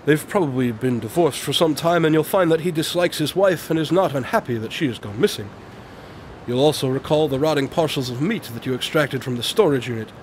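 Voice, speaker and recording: A man's voice: on the high side, a little fast, slight background noise, lightly acted. A man acting in a radio drama as a brilliant, aloof detective, speaking formally and precisely. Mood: confident, probing. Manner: precise, formal, matter-of-fact. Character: brilliant, aloof male detective explaining his deductions.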